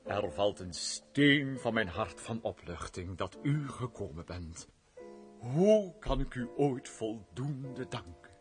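An actor playing a blustering, flirtatious count in a radio play, gallant and theatrical. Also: male voice, mid-range voice, big pitch swings, takes it slowly, faint music behind. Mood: eager.

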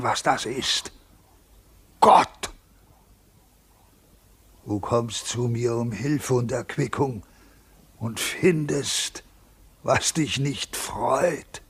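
Husky, severe holy man. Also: a bit bright, exaggerated voice. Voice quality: husky, breathy, creaky. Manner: slow, severe, preaching, often hissing low. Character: stern, scornful holy man condemning a ruler. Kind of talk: German radio play, theatrical dramatic acting.

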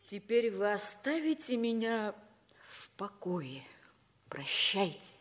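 A woman's voice: medium pitch, sing-song, slow pace. An actress playing a proud, wounded young woman of principle in a theatrical 1950s Soviet radio drama. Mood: cold defiance.

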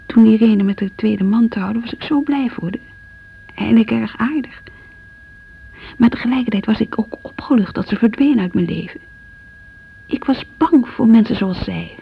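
An actress playing an anxious, guilt-ridden married woman in a radio drama monologue, hushed and hesitant, with a Netherlands Dutch accent. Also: medium pitch, a little slow, vocal fry, long pauses. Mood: guilty, anxious. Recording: dull, faintly noisy radio recording.

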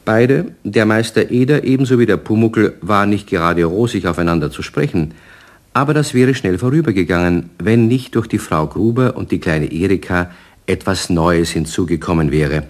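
A man's voice: sits low, animated, normal speed. A man narrating a children's radio play as a storyteller, reading scripted prose.

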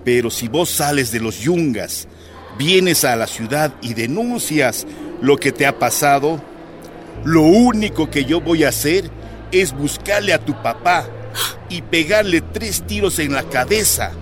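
A man's voice: a little high, animated, a little slow, background noise. A man playing a stern, condescending police captain in a radio drama, emphatic and menacing.

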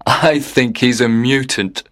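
A man playing a half-joking, easily amazed father in an audio story, with an animated manner. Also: mid-range voice, measured pace, lightly acted. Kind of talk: acted dialogue in an audio story.

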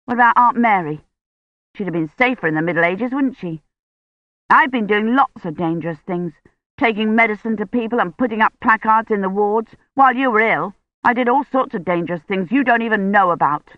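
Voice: mid-range voice, brisk, no echo, dull audio, voice pushed up, close to an everyday voice. A narrator voicing an eager, stubborn young boy who pleads and argues. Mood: insistent, indignant.

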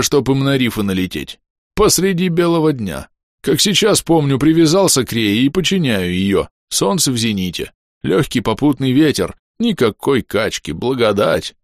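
A man's voice: mid-range voice, sing-song, moderate pace, dry recording, clean studio sound, pitched above the performer's own voice, natural voice. A man voicing the sullen ghost of an old ship's carpenter, talking in a gloomy, grudging, folksy sailor manner. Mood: recounting. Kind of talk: audiobook character voice.

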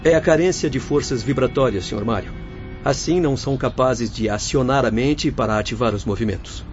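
A severe, formal male spirit guide voiced by an actor in a radio play. He teaches in a mid-pitched, didactic voice. The dull recording has clear music behind it. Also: brisk, close to an everyday voice. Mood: instructing. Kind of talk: radio drama acting. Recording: dull lo-fi audio under music.

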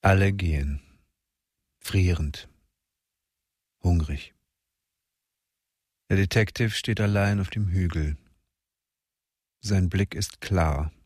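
Very deep, slow male narrator. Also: a little mellow, slightly rough, no echo, clean studio sound. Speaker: third-person narrator of a radio drama. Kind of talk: scripted present-tense narration.

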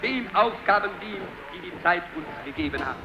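High-pitched fanatical orator, echoing. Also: male voice, animated, moderate pace, dull audio. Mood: fervent. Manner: declamatory, emphatic, pompous. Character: fanatical male Nazi propaganda minister orating. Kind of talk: rally speech in a radio drama. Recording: echoing speech under loud music.

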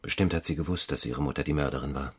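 A male police inspector with a deep voice. He is businesslike and probing, putting direct questions in matter-of-fact radio-drama dialogue. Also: fast, slightly rough, exaggerated voice. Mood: grave, direct.

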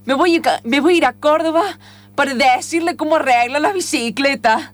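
A woman acts out an earnest young bike mechanic with big ideals, high-voiced and Argentine. She declares her plans aloud as if in an improvised radio play. Music plays behind her. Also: a little fast, natural voice. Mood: dreamy, determined.

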